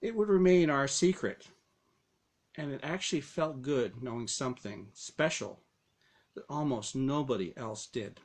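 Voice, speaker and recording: A man reading his own first-person story aloud as narration, with dramatic, deliberate phrasing. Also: mid-range voice, expressive.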